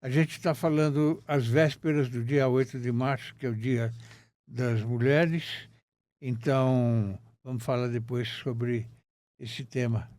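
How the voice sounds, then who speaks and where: A man's voice: mid-range voice, fairly even intonation, takes it slowly, a little mellow, no echo. A man hosting a podcast interview, courteous and formal in his introductions. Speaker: podcast host.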